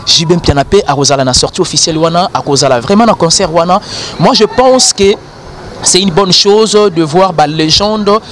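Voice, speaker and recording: A man's voice: high-pitched, normal speed, a bit bright, slight background noise. A man presenting culture news while sick with flu and a cough, formal with frequent hesitations. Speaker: web TV presenter.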